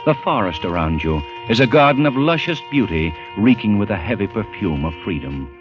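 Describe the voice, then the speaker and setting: A man's voice: on the low side, very animated, a little fast. A man acting as the intense, theatrical narrator of a 1940s radio suspense drama. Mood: intense, brooding. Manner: urgent, theatrical. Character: grave, intense second-person narrator of a suspense tale. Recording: muffled 1940s radio recording. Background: dramatic music.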